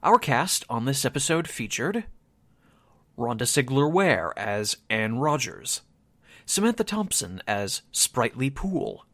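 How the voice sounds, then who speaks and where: Male announcer, medium pitch, lively intonation, formal scripted radio-theatre delivery, very dry close recording. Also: unhurried, no room sound.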